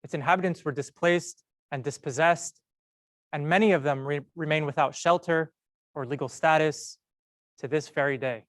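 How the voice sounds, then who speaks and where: A young man tells a prepared story in a measured, earnest way, slowing down and pausing to stress key words. His voice sits a little high for a man. The sound is close and dry, with almost no room echo. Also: a bit bright. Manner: measured, earnest delivery with slow emphatic pauses. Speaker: medical student speaker.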